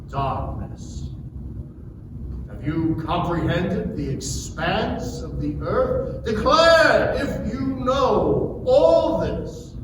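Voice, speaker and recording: A man playing a majestic, stern God in a dramatic scripture reading, declaiming deliberate challenges. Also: high voice, distant mic. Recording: echoey hall from afar, noisy.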